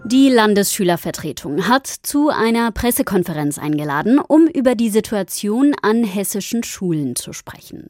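A woman presenting a regional radio news programme in a measured, serious scripted delivery. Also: medium pitch, darkish tone, no echo, studio quality.

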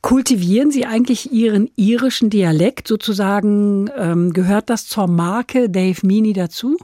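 A woman hosts a radio interview, her voice mellow and warm in tone. She asks her questions in a clear, structured way, and the sound is dry and close to the mic. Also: mid-range voice, normal speed, no room sound. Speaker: radio host.

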